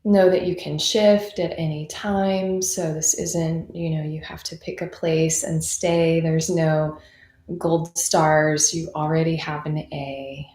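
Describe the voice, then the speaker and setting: A woman leading a guided meditation in an unhurried, conversational and reassuring manner, as a teacher would. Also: low voice, level tone, mellow. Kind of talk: guided meditation, gentle unscripted instruction. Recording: roomy, echoing sound.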